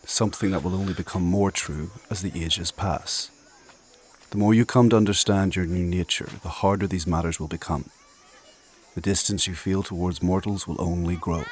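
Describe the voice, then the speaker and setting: A man playing an ancient immortal spirit mentor in a dark fantasy audio drama, speaking in measured, formal, old-fashioned phrases with solemn, knowing authority. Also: sits low, steady tone, close to an everyday voice. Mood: grave counsel.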